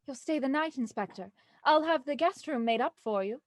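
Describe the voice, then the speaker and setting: Genteel heiress, high voice, dry close sound, proper, polite, anxious, easily flustered, period radio mystery. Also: female voice, expressive, normal speed, no echo. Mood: shaken, courteous. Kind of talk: audio drama acting, Victorian murder mystery radio program.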